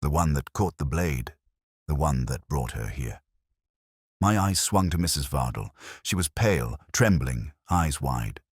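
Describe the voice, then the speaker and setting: Detective fiction narrator, male: very deep, husky voice, dry close sound, dramatic scripted read. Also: animated, a little slow, dry recording. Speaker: audiobook narrator.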